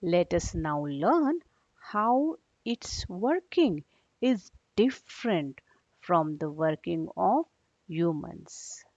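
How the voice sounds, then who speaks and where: A woman's voice: lowish voice, big pitch swings, extremely slow, a little mellow. A woman teacher giving a read-along lesson for young children, explaining in a didactic way and checking understanding with short questions. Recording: dull, echoey room recording.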